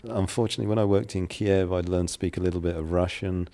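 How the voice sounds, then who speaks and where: A middle-aged English man with a very low, gravelly voice, answering interview questions in a measured, businesslike way. His tone is dark and mellow, and he pauses with the odd filler as he thinks. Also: steady tone. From England. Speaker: guest, property developer.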